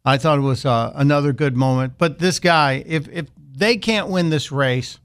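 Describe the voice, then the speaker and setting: A man's voice: on the high side, moderate pace, smooth. Sarcastic talk radio host, man. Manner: sarcastic, mocking asides. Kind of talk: opinionated radio monologue.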